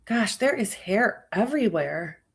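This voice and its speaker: A woman's voice: mid-range voice, animated, measured pace. A woman hosting a true crime livestream, musing aloud to her viewers with a stuffy nose. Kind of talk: casual live-stream commentary.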